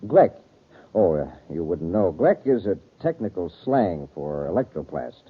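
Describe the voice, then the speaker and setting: Casting: courteous, exacting scientist for an old space radio drama, low rough-edged voice, tinny archive recording. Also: male voice, sing-song, measured pace, full character voice. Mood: explaining, courteous. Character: earnest male scientist, a doctor running a space project. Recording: muffled, thin vintage radio recording.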